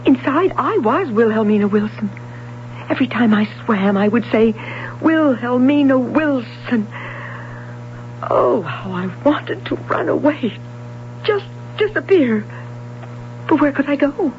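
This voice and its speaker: An anxious young ex-swimmer. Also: female voice, a little high, lively intonation, measured pace, pauses often. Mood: confessional. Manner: hesitant, earnest, confessional. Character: anxious young woman, former swimming prodigy. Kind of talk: 1970s radio drama acting. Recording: dull old radio broadcast, faint hum.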